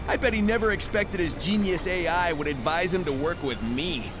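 An actor voicing a breezy, upbeat hedgehog hero in a video game cutscene, casual with slang. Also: male voice, fairly high pitch, normal speed, lightly acted. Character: cocky fast blue hedgehog hero, upbeat. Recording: game audio with music underneath.

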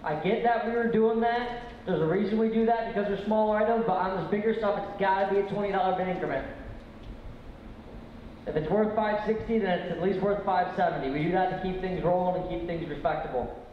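High-voiced man calling bids, very echoey. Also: brisk. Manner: casual, slangy. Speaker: auction bid caller. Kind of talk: live auction commentary and bid calling. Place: large indoor auction room. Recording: very echoey hall, dull sound, faint noise.

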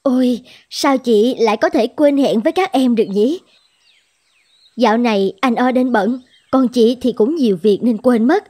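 A woman voicing an anxious, scheming adulterous wife in an animated drama, polite and pleading. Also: a little high, normal speed, lightly acted. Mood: feigned casualness. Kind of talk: Vietnamese animated drama dubbing.

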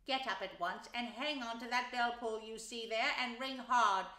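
Storybook rat, male, bossy and impatient a woman acts him: urgent, high-pitched delivery in an echoey room. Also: fairly even intonation, moderate pace, sharp tone. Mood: impatient, commanding. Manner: curt, urgent.

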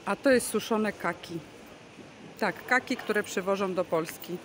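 A woman's voice: mid-range voice, unhurried. A woman hosting a casual vlog, chatting enthusiastically and explaining goods as she films with a handheld phone in a large covered market hall. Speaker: vlog host. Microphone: handheld phone camera, speaker behind it. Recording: busy market crowd noise. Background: bustling market crowd.

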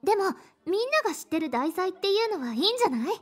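A woman playing a bright, ladylike teenage schoolgirl in a Japanese anime game, with a sweet, friendly manner. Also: very high voice, very animated, quick pace. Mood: thoughtful, cheerful.

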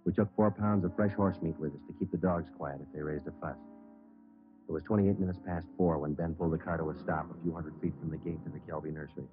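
An American actor playing a terse, matter-of-fact police detective who also narrates, in 1950s radio drama style. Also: male voice, extremely low pitch, quick pace. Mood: deadpan narrating. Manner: deadpan, clipped, factual. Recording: narrow-band vintage radio, music behind.

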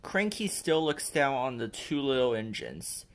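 A man reading a children's picture book aloud as a storyteller, expressive and deliberate. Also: mid-range voice.

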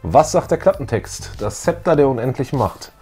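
A man's voice: medium pitch, animated, normal speed, a little mellow, husky, faint music behind. A middle-aged man co-hosting a fan show, chatting and reading aloud in an informal way. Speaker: co-host of a retro fan show. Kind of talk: casual chat, some reading aloud. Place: small room full of posters and collectibles.

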